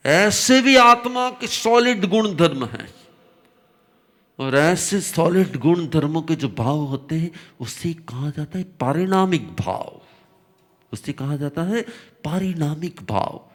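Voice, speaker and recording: A man teaching a religious discourse, his voice slightly hoarse, explaining slowly with repetition and emphatic rises. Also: on the high side, animated, a bit bright. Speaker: Jain spiritual teacher.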